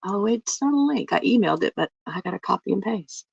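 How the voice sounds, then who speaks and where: A woman hosting a cooking livestream from her home kitchen, chatting to viewers in a rambling way while she works, her voice a little lower than usual from a cough. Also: expressive, fairly quick, creaky, slightly rough, dry recording, dull audio. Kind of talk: casual livestream chat while baking. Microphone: phone mic.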